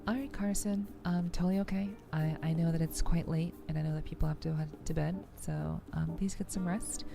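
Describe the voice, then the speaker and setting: A woman streamer with a low voice and plenty of vocal fry. She talks softly and gently, in the rambling, explanatory manner of an ASMR game stream host. Music plays clearly behind her. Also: steady tone, a little fast. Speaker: streamer, host of a weekly live show. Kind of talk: soft-spoken ASMR game stream chat. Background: background music.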